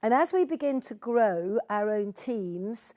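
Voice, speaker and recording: A woman's voice: fairly high pitch, expressive, measured pace, a little mellow. A woman hosting an online sales training, speaking in an encouraging, conversational and informal way. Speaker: host and trainer of sales team meeting. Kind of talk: informal training presentation over video call.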